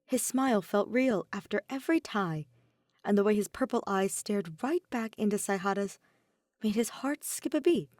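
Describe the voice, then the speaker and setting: A woman reads a story as narrator. Her pitch moves in a lively way around a middle register, and the sound is close and dry. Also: normal speed, no echo. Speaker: podfic narrator. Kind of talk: scripted fan fiction narration.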